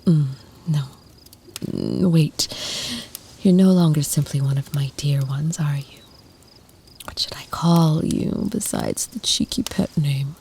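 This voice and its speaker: A woman voicing an ancient, tender and protective female vampire in an intimate ASMR roleplay, speaking softly, half in whispers, with formal, deliberate phrasing. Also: low-pitched, big pitch swings, creaky, a little hiss or hum, full character voice. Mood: surprised, tender.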